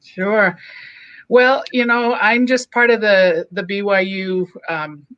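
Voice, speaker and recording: A woman from Samoa, a podcast guest over a video call, telling her story in an easy-going, warm way and laughing readily. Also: mid-range voice, steady tone, slow, clean tone, no room sound, highs cut off. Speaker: guest, alumna. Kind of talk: interview storytelling.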